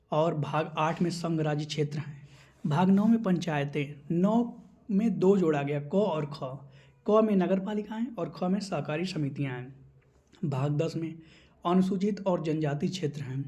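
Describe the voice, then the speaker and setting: A man teaches an exam class in a slightly high, soft-edged and mellow voice. He works through the material point by point, explaining each item as an instructor would. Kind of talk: instructional lecture on exam syllabus.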